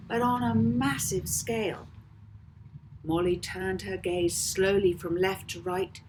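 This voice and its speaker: A woman reading a children's novel aloud in a measured, theatrical way with deliberate emphasis. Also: medium pitch, a little hiss or hum, poor audio. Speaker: author reading own novel. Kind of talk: dramatic audiobook narration of a children's story.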